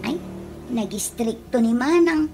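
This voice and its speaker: High-voiced pushy Ilocano peddler played by a woman. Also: lively intonation, measured pace, dark tone, slight background noise. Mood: coaxing. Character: pushy, blunt female peddler of perfume and lotion. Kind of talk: comedy radio drama acting.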